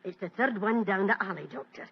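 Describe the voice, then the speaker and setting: An actress voicing a homely, caring Scottish landlady in a 1940s radio play, talking briskly and motherly, with a Scottish accent. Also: medium pitch, breathy edge. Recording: dull lo-fi old radio recording with faint hiss.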